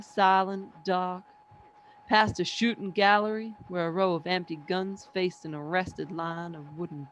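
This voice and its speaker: A performer playing a sombre professor who survived an invasion, narrating in measured, literary radio play style. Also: medium pitch, fairly bright tone, a little hiss or hum, natural voice, poor audio.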